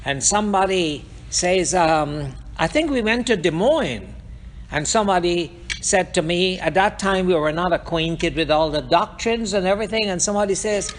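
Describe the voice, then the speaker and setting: A man's voice: high-pitched, expressive, normal speed. A man from Guyana preaching a sermon, asking rhetorical questions and repeating phrases for emphasis.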